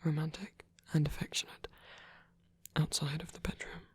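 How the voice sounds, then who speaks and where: A man playing a gentle, tender old vampire priest in an intimate ASMR roleplay, speaking slowly and hesitantly in a soft, breathy whisper. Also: on the high side, flat delivery, no echo. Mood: earnest, vulnerable. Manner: slow, hesitant, reassuring, softly formal phrasing.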